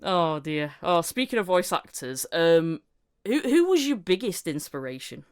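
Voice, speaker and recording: A woman's voice: fairly low pitch, very animated, unhurried, clear, resonant tone. A woman hosting a podcast in casual chat, giggly and self-deprecating as she shares anecdotes. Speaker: podcast host and voice actor.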